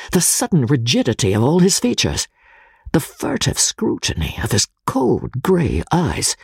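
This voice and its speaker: Casting: woman audiobook narrator, low-to-mid voice, husky and creaky, very animated, theatrical suspense. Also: no echo, clean studio sound. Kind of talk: dramatic scripted narration of classic fiction.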